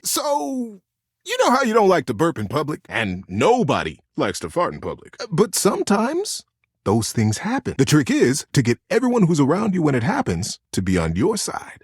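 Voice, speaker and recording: A man voicing a lovable old teddy bear in a video game, a gentle, encouraging buddy with a folksy, playful, earnest way of giving advice and a husky voice. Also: on the high side, no room sound, studio quality, heavily acted. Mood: teasing, playful. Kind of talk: video game character monologue, storytelling advice.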